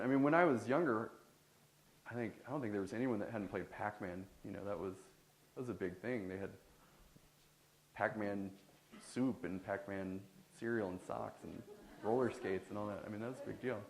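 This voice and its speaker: Slightly low-voiced man in an echoey hall. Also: a little hiss or hum. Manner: casual, halting, many fillers and restarts. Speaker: artist answering audience questions. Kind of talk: live Q&A at a talk. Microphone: handheld microphone. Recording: echoey room on a hand mic.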